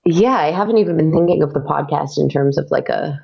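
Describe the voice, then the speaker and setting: A woman being interviewed about her podcast, talking hesitantly with many pauses. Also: sits low, creaky, lo-fi sound. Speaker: guest, audio drama podcaster. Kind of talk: casual interview chat.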